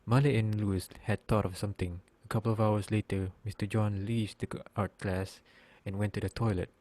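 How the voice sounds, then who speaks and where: A man reading scripted story narration as narrator, halting now and then as he slips over words. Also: lowish voice, fairly even intonation, darkish tone. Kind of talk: scripted narration, read aloud.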